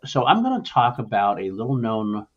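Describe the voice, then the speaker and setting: A man hosting a casual panel podcast, talking in a halting way and repeating words as he thinks. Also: mid-range voice, very animated, lo-fi sound. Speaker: podcast host.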